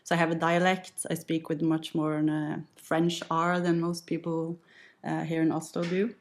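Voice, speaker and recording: A Norwegian-accented woman in her late thirties chats as a podcast guest, her voice sitting a touch low. She talks in an easygoing, reflective way, with the odd light laugh. Her first language is Norwegian. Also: monotone, moderate pace. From west coast of Norway. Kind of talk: casual conversational storytelling.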